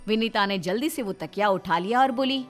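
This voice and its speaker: A woman's voice: mid-range voice, brisk, faint music behind. A woman storyteller reading a scripted family tale as narrator.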